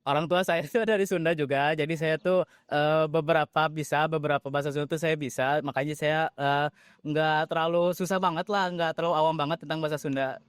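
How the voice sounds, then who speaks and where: A young man answers as a student, relaxed and easygoing. His voice is smooth and clear, a bit high for a man, recorded dry and close. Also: a little fast, no echo. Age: young adult. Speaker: student interviewee. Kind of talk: casual group interview.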